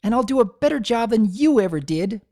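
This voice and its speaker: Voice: mid-range voice, animated, fairly quick, warm tone, dry recording, studio quality, voice pushed up, lightly acted. A performer voicing a bouncy, earnest little pony girl with an eager, childlike delivery, in a dramatic fan fiction reading. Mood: defiant. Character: Sunny, bouncy excitable little filly girl, earnest and playful.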